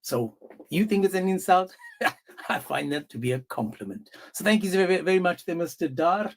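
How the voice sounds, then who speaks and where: A male live-stream host with a slightly high-pitched voice. He taunts and badgers his guests, cutting in over them in a confrontational way. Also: lively intonation, moderate pace, a little hiss or hum. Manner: mocking, confrontational, talks over others. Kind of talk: heated live-stream debate.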